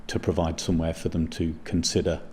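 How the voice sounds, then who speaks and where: Deep, even-toned man reflecting. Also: fairly quick, slight background noise. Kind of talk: reflective news interview.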